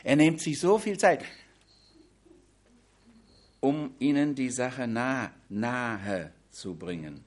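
A man preaching a sermon on a Bible passage in a language that is not his first, with slow, emphatic delivery that drops into quiet asides. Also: medium pitch, lively intonation. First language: not German.

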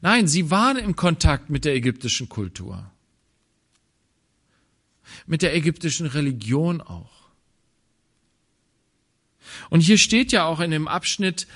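German preacher with a higher voice. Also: male voice. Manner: thoughtful, pauses and restarts, drops to quiet low asides. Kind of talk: sermon.

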